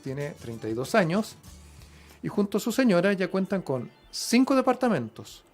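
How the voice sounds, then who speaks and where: A male radio host with a somewhat higher voice. He talks conversationally, with frequent fillers and quick affirmations, over faint background music. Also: sing-song. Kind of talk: radio interview.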